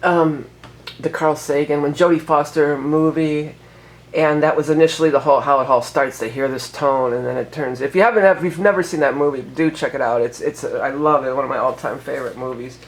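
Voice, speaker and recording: A woman's voice: sits low, fairly even intonation, brisk. Woman astrologer with a lingering head cold. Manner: rambling, with frequent fillers and self-corrections. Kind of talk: casual astrology forecast talk.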